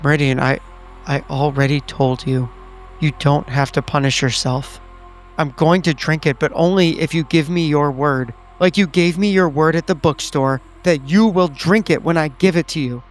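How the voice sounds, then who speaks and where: A man's voice: fairly high pitch, fairly even intonation, moderate pace, soft background music, lightly acted. A man playing an earnest, protective agent in a tabletop horror game, speaking insistently to a companion.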